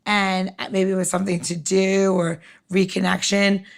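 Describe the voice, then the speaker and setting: Female podcast host, mid-pitched with creak, conversational, thinks aloud in rambling questions. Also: flat delivery, normal speed. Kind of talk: casual chat interview.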